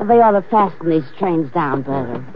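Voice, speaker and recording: A woman's voice: low voice, lively intonation, normal speed. A woman playing a tipsy, sassy, wisecracking good-time girl in an old-time radio drama. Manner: tipsy, teasing banter. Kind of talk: 1940s-50s radio drama acting. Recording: muffled, noisy old-time radio recording.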